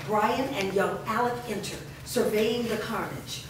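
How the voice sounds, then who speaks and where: A woman's voice: medium pitch, a little slow. A woman reading stage directions as scripted narration. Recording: noisy, very echoey hall from far off.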